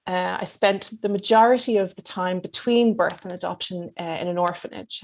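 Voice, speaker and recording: An Irish woman in her fifties speaking as an interview guest, in an articulate, measured and reflective way. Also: fairly low pitch, slight background noise. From Dublin, Ireland. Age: 50s. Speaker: psychotherapist being interviewed.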